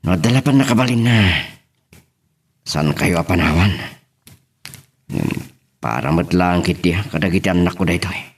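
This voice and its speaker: A man's voice: deep voice, moderate pace, pauses often, breathy edge. A man acting an earnest, burdened husband and father in an Ilocano audio drama. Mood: grateful, earnest.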